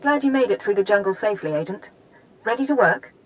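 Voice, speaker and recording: An actress playing a brisk, firm intelligence handler briefing an agent in a video game, businesslike and no-nonsense. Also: female voice, mid-range voice, expressive, natural voice, rough recording.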